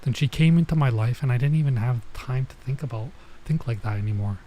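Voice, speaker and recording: A man's voice: medium pitch, fast talker, warm tone, slight background noise. A man streaming a visual novel, reading it aloud and dropping in casual asides. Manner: stops to comment and translate Japanese phrases. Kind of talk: let's play read-aloud with casual asides.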